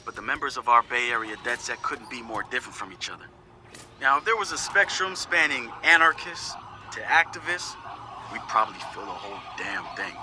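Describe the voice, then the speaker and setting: An actor playing a confident young hacker activist, recording a casual, slangy, wry audio log for a video game. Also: male voice, medium pitch, expressive, fairly quick, noisy background, lightly acted. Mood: determined. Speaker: hacker group leader narrating audio logs.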